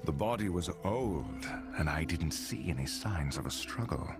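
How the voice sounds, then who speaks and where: Deep-voiced, grim warrior hero. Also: male voice, lively intonation. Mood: grave, blunt. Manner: grave, blunt, measured. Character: grim, questioning male wandering warrior hero. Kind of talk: dramatic game voice acting. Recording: game music behind the voice.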